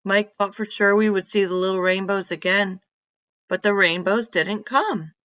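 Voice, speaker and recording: A woman reading a children's story aloud in an expressive, deliberate manner. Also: medium pitch, no echo. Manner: deliberate, animated reading with emphatic exclamations.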